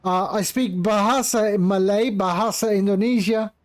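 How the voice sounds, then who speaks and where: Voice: medium pitch, fairly even intonation, normal speed. A flight simulator streamer giving casual live commentary, patiently explaining and repeating phrases while chatting with viewers.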